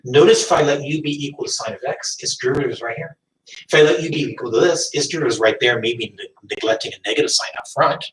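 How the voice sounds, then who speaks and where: A man's voice: medium pitch, fast, slight background noise. Man lecturing math in an echoey room. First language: French. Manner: thinks aloud, restarts and rephrases. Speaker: math teacher. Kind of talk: recorded classroom lecture. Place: classroom. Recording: echoey room, distant mic.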